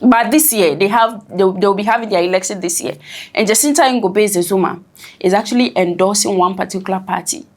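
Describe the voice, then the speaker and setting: A woman's voice: mid-range voice, a little fast, a little mellow. A woman commenting on a news report in a conversational way, often checking in with "right?".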